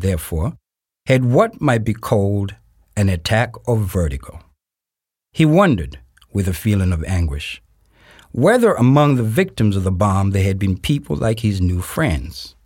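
A man playing a grave narrator of a historical radio play, reading scripted narration in a measured, deliberate, formal way with pauses. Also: fairly low pitch, a little mellow, breathy edge, no room sound, studio quality, full character voice. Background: music.

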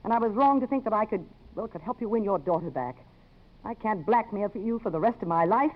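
A woman plays a proud, resolute career woman in a 1950s radio play. She is clipped and guarded, with an expressive range of pitch. The recording is dull and muffled. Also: brisk, natural voice. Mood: weary resolve.

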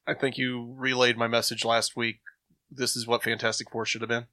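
A man's voice: mid-range voice, level tone, fairly quick, bright, forceful tone, no echo. A man chatting casually and enthusiastically as a podcast panelist, effusive about what he likes.

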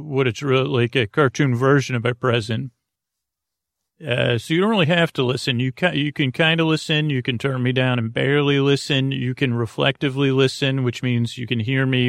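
Male bedtime podcast host, mid-pitched with heavy vocal fry, rambling, trailing off, gently unhurried. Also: dry recording, studio quality. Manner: meandering, halting, repeats and trails off.

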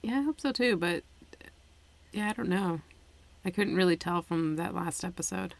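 A woman in a relaxed casual conversation who thinks aloud and laughs lightly. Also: fairly low pitch, moderate pace, creaky. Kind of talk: casual chat.

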